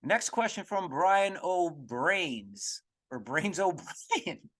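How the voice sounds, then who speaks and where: A man hosting a podcast, giving casual comedic commentary in a rambling, halting way with crude slang. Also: high-pitched, animated, a bit bright. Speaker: podcast host.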